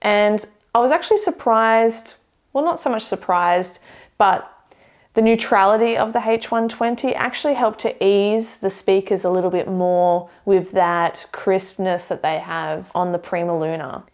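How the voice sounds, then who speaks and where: A young woman seated in a living room, giving a measured, detailed review of speakers to camera. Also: mid-range voice. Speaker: audio equipment reviewer. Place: living room with hi-fi shelves, indoors.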